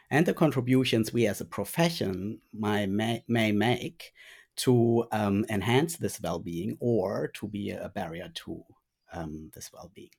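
A man whose first language is German, answering interview questions as a speech pathology lecturer, with thoughtful pauses and ums. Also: lowish voice. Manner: thoughtful, frequent um pauses, trailing quieter.

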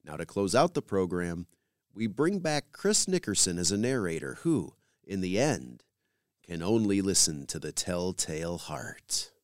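A man's voice: low-pitched, sing-song, unhurried, dry recording, clean studio sound. A man hosting a radio program, reading scripted introductions and credits as a presenter.

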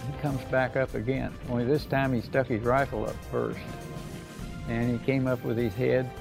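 Gravelly old American veteran reminiscing. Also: male voice, mid-range voice, little pitch movement, normal speed, rough recording. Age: older. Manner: folksy, plain-spoken. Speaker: World War II veteran recounting memories. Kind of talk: unscripted oral-history reminiscence. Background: music bed.

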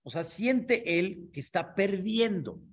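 High-voiced man teaching a class. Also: animated, slow pace. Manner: explanatory, checks understanding with frequent asides. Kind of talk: Torah class lecture.

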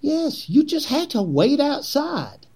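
An actor playing a zealous, persuasive Confederate conspirator in a historical drama, with a husky voice and theatrical, oratorical delivery. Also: male voice, extremely high pitch, big pitch swings, slow, a little mellow, full character voice. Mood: scheming. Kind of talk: period historical drama acting.

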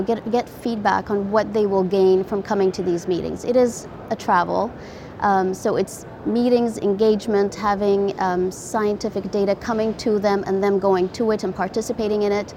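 A woman's voice: medium pitch, steady tone, normal speed. Woman doctor being interviewed, earnest and chatty explaining, pretty noisy behind her. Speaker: guest, interventional cardiologist. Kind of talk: conversational expert interview. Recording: clearly noisy conference background.

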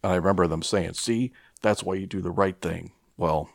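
A middle-aged American man chatting casually as a podcast co-host, telling stories in a rambling, halting, profane way. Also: low voice, steady tone, vocal fry, no room sound, studio quality. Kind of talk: casual chat, rambling storytelling.

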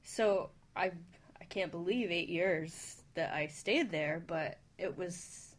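A woman's voice: on the low side, slow pace. A woman chatting casually and personally as a panelist on a talk show.

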